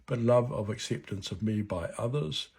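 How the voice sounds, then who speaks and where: A man in his seventies from New Zealand with a New Zealand accent who stutters at times, gently reading a personal reflection. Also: medium pitch, moderate pace, creaky, slightly rough. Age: 70s. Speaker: board chair of a stuttering charity. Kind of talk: personal reflection read aloud.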